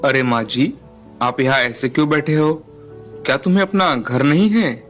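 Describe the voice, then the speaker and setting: A man's voice: medium pitch, normal speed, a bit bright, natural voice. A man playing a doting, earnest village husband and father in a storybook tale, with music behind. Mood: concerned.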